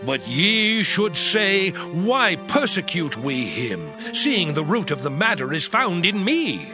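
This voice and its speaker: An actor playing a suffering man who pleads with his friends, in a dramatized scripture reading with anguished, slow declamation. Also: high-pitched, sing-song, full character voice. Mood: pleading. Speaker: actor voicing Job. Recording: music bed under voice.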